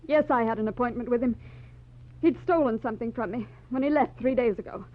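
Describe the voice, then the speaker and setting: An actress playing a secretive, frightened young wife in a 1940s radio melodrama, earnest and guarded. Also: female voice, on the high side, a little fast, natural voice. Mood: guarded, anxious. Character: troubled, secretive, well-off young married woman, frightened. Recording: thin, phone-like vintage 1940s radio recording.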